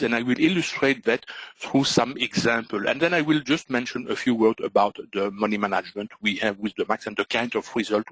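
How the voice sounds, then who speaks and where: A man from Belgium with a Belgian French accent, presenting a trading webinar in halting English with many "uh" and "so" fillers. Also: mid-range voice, a bit bright, husky, no room sound, low-quality recording. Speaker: trading instructor.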